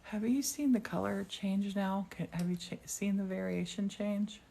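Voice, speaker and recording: A woman's voice: medium pitch, steady tone, normal speed, vocal fry, dark tone. A woman hosting a live demonstration in a glass studio, chatting casually and with enthusiasm. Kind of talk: casual live-stream chat and commentary.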